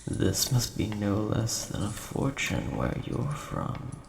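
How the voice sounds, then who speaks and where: A very creaky, low-pitched dragon, acted out by a man, large but mild and bookish, in an audio roleplay. He talks slowly and politely, quick to take offence, in a roomy, echoing space. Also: sing-song, exaggerated voice. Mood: pleasantly surprised. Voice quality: gravelly, creaky. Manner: polite, measured, mildly indignant. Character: large, mild-mannered, nerdy male dragon, easily offended coin collector. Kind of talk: scripted audio roleplay addressed to the listener. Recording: roomy echo, faint background hiss.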